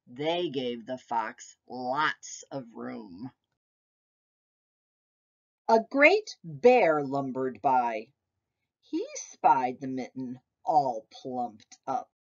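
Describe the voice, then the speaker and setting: Slow, expressive woman reading a storybook. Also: deep voice, muffled recording. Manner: deliberate, expressive storytelling phrasing. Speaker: preschool teacher reading a picture book aloud. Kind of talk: storybook read-aloud for young children.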